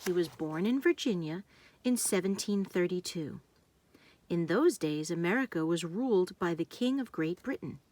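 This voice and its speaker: A woman narrating a children's storybook, reading aloud in simple, deliberate phrases meant for young listeners. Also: on the low side, lively intonation, a little mellow.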